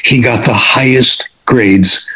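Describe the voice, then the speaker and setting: An older man gives a lecture in a husky voice. He speaks conversationally and wanders into side stories, restarting phrases as he goes. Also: medium pitch, takes it slowly, sharp tone, low-quality recording. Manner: conversational, digressive storyteller with small restarts. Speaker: lecturer on language acquisition. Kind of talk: informal lecture with anecdotes.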